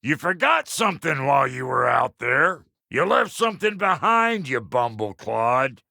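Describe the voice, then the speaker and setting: An actor playing a gruff, cocky dwarf blacksmith in a video game, with a rough American accent and a blunt, folksy, cantankerous way of talking. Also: male voice, medium pitch, sing-song, unhurried, sharp tone, no room sound, studio quality, exaggerated voice. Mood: gruff, teasing. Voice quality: gravelly, rough. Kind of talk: video game character lines.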